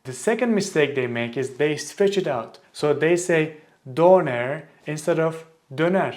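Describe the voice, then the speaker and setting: Turkish guy teaching pronunciation, slightly high voice, slow and careful on the words. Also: expressive, darkish tone. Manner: clear, deliberate, slows to model pronunciation. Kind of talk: language lesson to camera.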